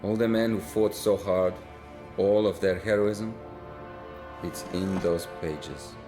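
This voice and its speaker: An actor playing a weary, guilt-haunted Soviet lieutenant who narrates in a grave, reflective way, over a loud orchestral score. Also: male voice, low voice, steady tone, slow pace, music in the background. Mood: somber. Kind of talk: video game cutscene voice acting.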